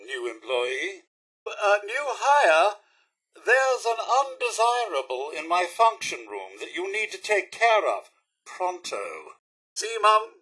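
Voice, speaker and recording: A man's voice: sits high, sharp tone, breathy edge, full character voice. A man voicing a fussy, polite British robot butler in a video game, with prim, formal servant's diction and an eager, easily dismayed temper. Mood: indignant, bossy, then proud, obliging. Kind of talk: theatrical video game character acting.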